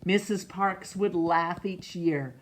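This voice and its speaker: A middle-aged woman reading a picture book aloud in a measured, expressive way for children. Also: lowish voice. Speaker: storytime reader. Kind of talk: read-aloud storybook narration. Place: small room indoors.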